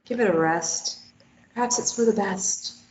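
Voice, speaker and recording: Woman reading a bitter, self-blaming grieving woman. Mid-pitched. Dull, echoey table-read recording. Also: unhurried, lightly acted. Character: guilt-ridden young woman, former addict, grieving. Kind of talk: table read of a stage play. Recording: dull echoey room sound.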